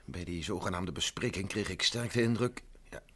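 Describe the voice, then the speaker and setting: A husky, stubborn general. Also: male voice, deep voice, fairly bright tone. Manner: firm, measured, commanding. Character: stubborn disgraced general under house arrest. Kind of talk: 1960s radio play acting.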